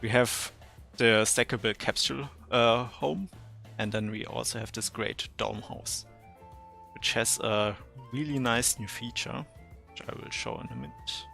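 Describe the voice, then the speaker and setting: A man's voice: mid-range voice, fairly even intonation, a little slow, gravelly, bright, forceful tone, faint music behind. A man casually presenting and demonstrating features of a game on a stream.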